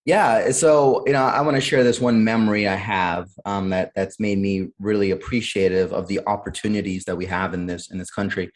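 Earnest low-voiced man in his thirties. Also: flat delivery, fairly quick, hardly any pauses. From born in Honduras, raised in Cleveland, Ohio. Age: 30s. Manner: earnest, full of "uh" and "um", repeats words. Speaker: podcast guest. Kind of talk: candid conversational storytelling.

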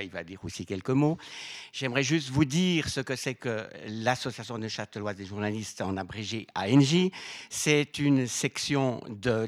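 A man's voice: fairly low pitch, dry recording. A man giving a semi-formal welcome speech as an association president, often restarting and correcting his words. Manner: halting, repeats and corrects words.

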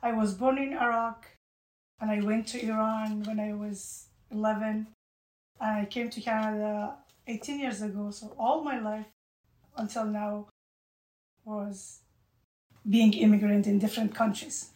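Woman, from Afghanistan, middle-aged, earnest, halting, roomy echo. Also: mid-range voice, steady tone, darkish tone. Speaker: interview guest. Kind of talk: unscripted interview answers. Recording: echoey room.

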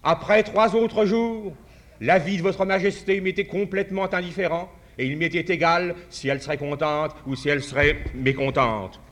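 A man's voice: on the high side, steady tone, a bit bright. Man giving a formal address. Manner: measured, tells a parable with restarts. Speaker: conference speaker. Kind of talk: formal speech at a podium.

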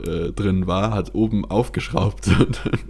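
A young man with a very low voice. He hosts a podcast and talks in a casual, conversational way, as if telling stories among friends. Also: fairly even intonation, unhurried, a little mellow, breathy edge. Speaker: podcast host. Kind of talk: casual anecdotal chat.

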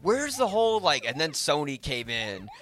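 A man's voice: a little high, wildly swooping pitch, moderate pace, fairly bright tone. A man hosting a podcast, talking casually about films in a rambling, opinionated, informal way. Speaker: podcast host. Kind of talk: casual film discussion.

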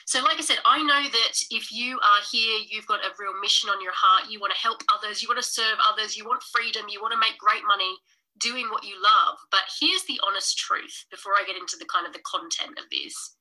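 A woman's voice: mid-range voice, a little fast, sharp tone, rough recording. A woman hosting a business podcast live stream, talking casually and enthusiastically to her viewers, a little nasal and snuffly from a cold. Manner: enthusiastic, chatty, addresses viewers as guys. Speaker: podcast host and business coach.

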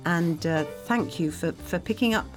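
A woman's voice: lowish voice, moderate pace, faint music behind. A woman guest speaking earnestly and thanking the host graciously.

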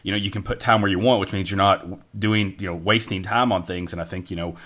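A man's voice: low-pitched, fairly even intonation, quick pace, fairly bright tone. A man hosting a podcast, affirming his guest and steering the talk, with many "you know" fillers. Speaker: podcast host. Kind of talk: conversational interview.

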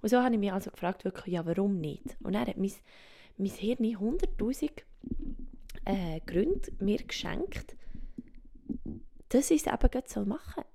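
A Swiss woman speaking German with a Swiss German accent, hosting a reflective solo podcast, thinking aloud softly and often dropping to a whisper. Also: mid-range voice, vocal fry. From Switzerland. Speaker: podcast host. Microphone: home microphone.

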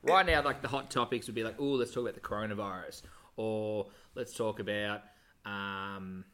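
A man's voice: lowish voice, moderate pace, pushed and bright. A young Australian man co-hosting a casual chat podcast, chatty and teasing. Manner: chatty, banters and teases about footy. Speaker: podcast co-host.

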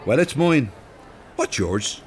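A man's voice: mid-range voice, big pitch swings, slow. Cheeky older Irish male rogue for radio drama; hugely varied intonation, faint noise behind. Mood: friendly, cheeky. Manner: colloquial, teasing, swears mildly. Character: chatty, cheeky older Dublin chancer, male. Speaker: actor in an audio drama.

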